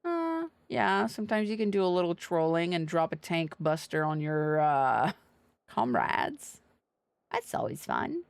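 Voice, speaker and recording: A woman's voice: lowish voice, very animated, unhurried, creaky, clear, resonant tone, no room sound. A woman livestreaming a game with casual, playful, sweary commentary.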